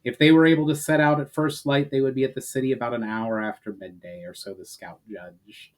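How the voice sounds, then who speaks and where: A man's voice: mid-range voice, fairly even intonation, brisk, a little mellow. Man reading fantasy fiction aloud. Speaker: author and narrator reading his fantasy novel aloud. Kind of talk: dramatic storytelling narration.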